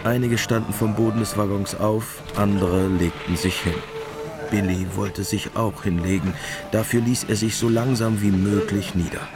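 A man's voice: fairly low pitch, fairly even intonation, moderate pace. A man narrating a scripted radio drama. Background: music.

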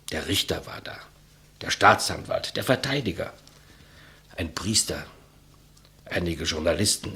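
An actor playing a humble, despised executioner in a 1950s German radio play, deferential, plain-spoken and reflective. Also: male voice, deep voice, a bit bright, slightly rough, full character voice. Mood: earnest, reminiscing. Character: humble, despised old-school male executioner, quietly matter-of-fact. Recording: muffled vintage 1959 radio recording.

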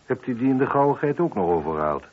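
An actor playing a probing, sceptical police inspector in a Dutch radio play, questioning in a measured, dryly insistent way. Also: male voice, medium pitch, sing-song, breathy edge. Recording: muffled, band-limited old radio recording.

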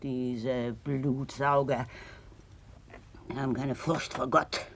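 A woman's voice: extremely low pitch, level tone, slight background noise, heavily acted. An actress playing an older, motherly woman convict, earthy, blunt and consoling, in a 1960s German radio drama. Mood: indignant. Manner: slow, plain-spoken, earthy.

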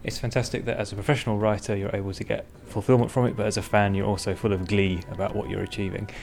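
Fast, gravelly male interviewer. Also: fairly low pitch, flat delivery, darkish tone. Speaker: podcast interviewer.

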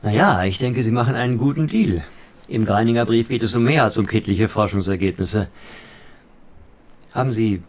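An actor playing a polite, probing negotiator in a radio play, courteous, measured and businesslike. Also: male voice, fairly low pitch, darkish tone, lightly acted. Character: polite, probing male negotiator interested in scientific papers.

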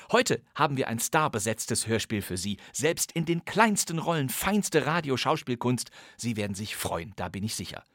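A male podcast host speaking at a medium pitch into a close studio microphone, the sound completely dry. He introduces an archive radio play in a playful, chatty tone and clearly enjoys the old material. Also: fairly bright tone, dry recording.

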